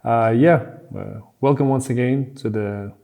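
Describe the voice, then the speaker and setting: A man's voice: medium pitch, a little slow, vocal fry, dark tone. A man from Kazakhstan, chatting as an easygoing guest on a casual podcast.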